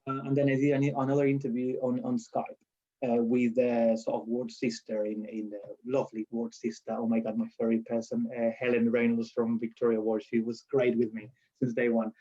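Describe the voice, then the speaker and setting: A man's voice: medium pitch, level tone, a little fast, mellow, dull audio. A man from Spain with a strong Spanish accent, chatting in an interview and telling stories with restarts and laughs. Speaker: lecturer being interviewed. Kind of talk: casual interview.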